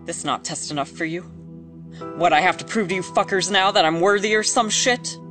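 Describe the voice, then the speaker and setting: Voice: medium pitch, a little fast, fairly bright tone, faint music behind, natural voice. A performer playing a furious, defiant, foul-mouthed woman test subject, taunting and aggressive, in an audio drama.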